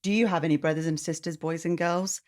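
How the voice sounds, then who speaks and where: A woman reading a storybook aloud to children in an expressive narrating style. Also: low voice, fast talker.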